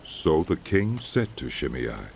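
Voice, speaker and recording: A man narrating a dramatized Bible as a scripted, measured storyteller. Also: extremely low pitch, sing-song, creaky, a little hiss or hum.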